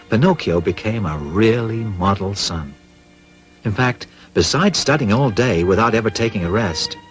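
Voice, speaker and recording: Low male storyteller for an old kids cartoon, lively intonation, bit of music under. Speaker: storybook narrator. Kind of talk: scripted narration of a children's cartoon.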